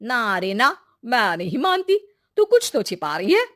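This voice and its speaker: A woman's voice: a little high, huge pitch swings, a little slow, fairly bright tone, full character voice. A woman voicing a curious, chatty young village woman in a moral-story cartoon, with colloquial exclamations. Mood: probing, amazed.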